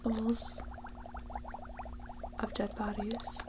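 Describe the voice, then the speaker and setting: Woman acting as a slow, soft-spoken reclusive witch. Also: flat delivery. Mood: calm, obliging. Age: 20s. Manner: hesitant, low-key, halting. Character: reclusive young witch, awkward, guarded, obliging. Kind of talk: soft-spoken ASMR roleplay. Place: indoors, small set with painted backdrop. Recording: clear background noise, bubbling ambience.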